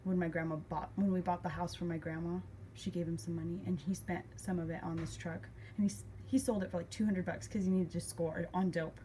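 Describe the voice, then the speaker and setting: A woman's voice: on the low side, fairly even intonation, fairly quick, mellow. A young woman telling a personal story casually and emotively, acting out remembered conversations as she goes. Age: young adult. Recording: clear background noise.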